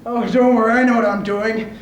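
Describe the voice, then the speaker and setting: A man playing a bumbling, helpful butcher who frustrates easily, with a goofy, halting, casual delivery in a stage comedy sketch. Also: extremely high pitch, little pitch movement, highs cut off. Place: live stage before an audience. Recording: echoey stage with background noise.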